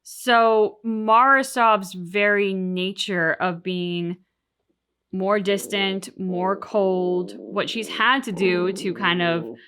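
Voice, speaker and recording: A woman hosting a reaction show, discussing things casually and analytically in measured, articulate phrases. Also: medium pitch, fairly bright tone, clear, resonant tone. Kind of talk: casual analytical discussion of video game story.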